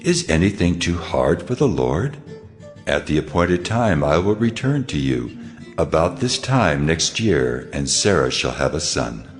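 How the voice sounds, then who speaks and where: A man playing God in a dramatized audio Bible, speaking in a grave, measured, authoritative voice. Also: extremely low pitch, very animated, faint music behind, heavily acted. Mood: solemn, questioning. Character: God, solemn, commanding divine male voice. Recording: musical score behind the voice.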